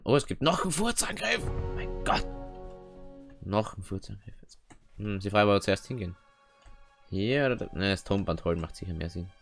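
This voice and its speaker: A man's voice: fairly low pitch, vocal fry, fairly bright tone. A man from Austria giving casual, slangy gameplay commentary, dropping in English exclamations. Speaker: let's player. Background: game music and clicking.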